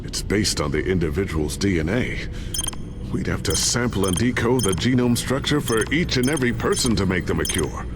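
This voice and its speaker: Deep-voiced, assured inventor. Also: male voice, animated, moderate pace, background noise. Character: capable, reassuring male inventor, loyal ally. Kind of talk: dramatic video game acting. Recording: music behind the voice.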